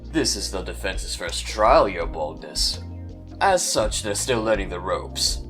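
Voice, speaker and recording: A cold, menacing prosecutor, voiced by a man in a fan-made audio drama speaks in a low, clipped voice. He threatens with slow, deliberate calm. Music plays clearly behind him. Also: exaggerated voice. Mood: measured. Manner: clipped, menacing, calls others "little lamb".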